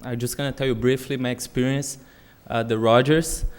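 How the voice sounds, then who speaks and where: A man's voice: medium pitch, flat delivery, normal speed, smooth. A young man in his twenties with a Brazilian accent, a native Portuguese speaker, telling his story informally at a public meeting with many fillers. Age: 20s. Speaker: alumnus speaking at public comment. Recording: long reverb in meeting hall.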